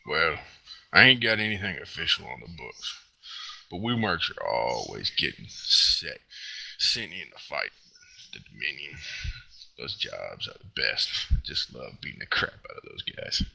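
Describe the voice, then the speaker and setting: A man playing a gruff, cranky non-human mercenary with a growling voice and slangy tough-guy talk, in a role-played interview. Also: big pitch swings, a little slow, sharp tone, heavily acted, poor audio. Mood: gruff, cocky. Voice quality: very deep gravelly growl. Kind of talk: in-character mock interview role-play.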